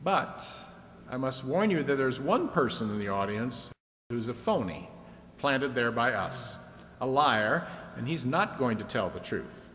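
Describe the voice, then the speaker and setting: A man's voice: medium pitch, sing-song, unhurried, a bit bright. Echoing hall, faint noise, man playing genial male game show host, 1950s radio, folksy patter. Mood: genial, explaining.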